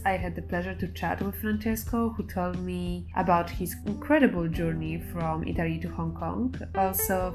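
A woman hosting an art podcast, reading a scripted introduction and then asking questions with some hesitation. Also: lowish voice. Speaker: podcast host. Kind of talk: podcast interview.

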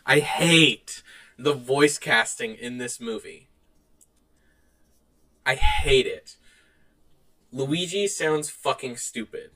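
A man's voice: fairly high pitch, sharp tone. A man co-hosting a podcast, chatting casually about movies in a blunt, opinionated way. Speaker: podcast co-host.

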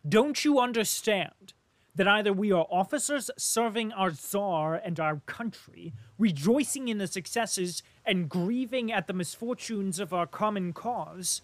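A man's voice: high voice, no echo. A man voicing a proud young male officer who speaks sharply and indignantly. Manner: sharp, indignant, trembling jaw.

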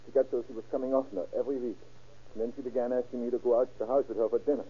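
An actor playing a cornered, guilt-ridden murder suspect in a 1930s radio crime drama, halting and stammering as he pleads. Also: medium pitch, fairly even intonation, lightly acted. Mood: haunted confession. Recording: muffled, tinny old-time radio recording.

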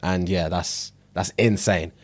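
A man's voice: sits low, steady tone, takes it slowly, dry recording. A man with a London British accent, co-hosting a podcast in a casual, slangy conversational way, repeating phrases for emphasis. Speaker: podcast co-host.

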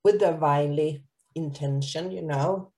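A woman interviewing a guest in a calm, reflective way, with slightly non-native phrasing. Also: deep voice, unhurried. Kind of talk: casual conversational interview.

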